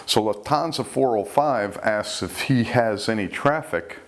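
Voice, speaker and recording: A man with a low voice hosts an informal storytelling talk. He recounts incidents conversationally, relaying what was said in plain reported speech. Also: measured pace. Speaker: host recounting UFO reports.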